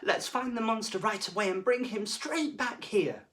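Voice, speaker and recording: Man acting a deliberate, emphatic school deputy head. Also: sits high, fairly bright tone, recorded from a distance, pitched above the performer's own voice. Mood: remorseful. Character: stern, pompous male deputy headteacher, softening later.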